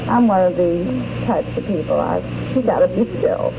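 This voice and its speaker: A woman's voice: fairly low pitch, moderate pace. A woman answering casual interview questions as a visitor at an event, speaking a little hesitantly. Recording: muffled noisy 1950s field tape.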